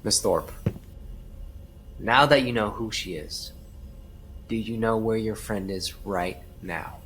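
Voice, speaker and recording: An actor playing a skeptical, weary police detective who presses quietly in an audio drama. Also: male voice, lowish voice, slow, slight background noise, low-quality recording. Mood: firm, probing. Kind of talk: audio drama acting, interrogation and confessional narration.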